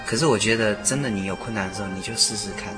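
Fast-talking, lower-voiced earnest man over music. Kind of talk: casual faith discussion. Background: background music.

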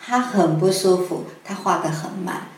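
Hesitant lowish woman in an echoey room. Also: normal speed. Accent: Taiwanese Mandarin. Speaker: guest scriptwriter. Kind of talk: conversational interview explanation. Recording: echoey room with faint noise.

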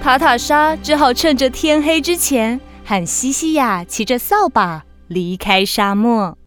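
High-voiced woman narrating a children's story. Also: lively intonation, a little slow, a bit bright. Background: music.

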